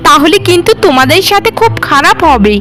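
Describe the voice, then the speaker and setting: Woman playing spoiled young cartoon sister-in-law: high, sharp-tongued scolding, bossy and suspicious, music softly behind. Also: lively intonation, fairly quick. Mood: angry threatening. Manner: demanding, scolding, accusatory. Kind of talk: village moral-story cartoon acting. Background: background music.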